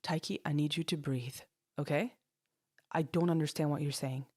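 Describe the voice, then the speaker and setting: A woman playing a steady, caring woman who speaks in a calm, reassuring way in an audio story. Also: low-pitched, moderate pace, no room sound, clean studio sound. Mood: calm concern. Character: steady, caring woman calming someone over the phone.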